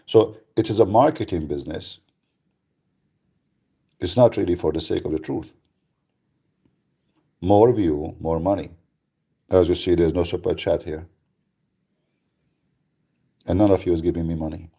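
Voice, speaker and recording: A man's voice: low-pitched, measured pace, long pauses, mellow, slightly rough. A man hosting a live radio talk, speaking bluntly and emphatically, with frequent repeats and restarts. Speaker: radio show host.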